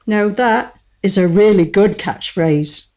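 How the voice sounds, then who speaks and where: A woman's voice: mid-range voice, takes it slowly, a little mellow. A woman playing a cheeky, pun-loving royal jester with a teasing manner in a comic radio play. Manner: teasing, quipping.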